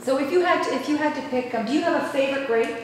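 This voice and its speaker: A woman's voice: sits high, very fast, slight background noise. A woman from Canada co-hosting a wine show, asking questions in a casual, encouraging way. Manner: encouraging, lots of short affirmations. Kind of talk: casual interview. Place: large winery tasting room, indoors. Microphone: handheld mic, wide camera. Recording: echoey room from far off.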